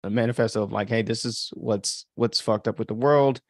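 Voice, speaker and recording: A man's voice: on the low side, fairly even intonation, brisk, no room sound, clean studio sound. A man chatting informally as a podcast host, rambling through his commentary with frequent filler words and some mild swearing. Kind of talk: casual chat, commentary.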